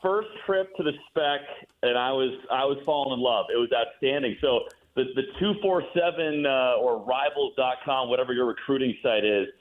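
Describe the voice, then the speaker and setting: An American man from the Midwest, a play-by-play announcer giving a casual sports interview with animated, joking phrasing. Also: medium pitch, moderate pace, few pauses. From Midwestern United States. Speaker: radio play-by-play announcer, guest. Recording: thin phone-like line.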